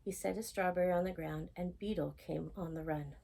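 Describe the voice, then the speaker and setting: A woman reading a picture book aloud in an expressive, animated storytime style, acting out the characters. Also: lowish voice, brisk, creaky. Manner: animated, acts out characters' lines.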